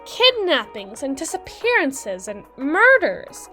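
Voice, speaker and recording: A brisk mermaid lawyer, acted by a woman, speaks in a lightly high voice with faint music behind her. She is articulate, condescending and dryly witty, delivering her scripted roleplay monologue like a lecture, with deliberate pauses for dramatic weight. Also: wildly swooping pitch, bright, forceful tone, full character voice. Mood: grave, emphatic. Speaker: audio roleplay performer. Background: background music.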